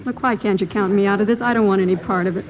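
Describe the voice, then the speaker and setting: A woman's voice: mid-range voice, fairly even intonation, very fast, lightly acted. A woman playing a world-weary, evasive suspect in an old radio crime drama, answering curtly. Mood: frightened, resigned. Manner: evasive, curt. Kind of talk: 1940s-50s radio drama acting. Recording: dull old radio recording, crowd chatter behind.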